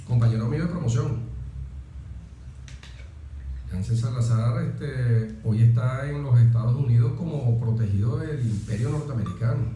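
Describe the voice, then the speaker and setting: A man, an interview guest explaining politics deliberately, with emphatic lists and rhetorical questions. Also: mid-range voice, dark tone, slight background noise. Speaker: interview guest, military officer. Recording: very echoey room.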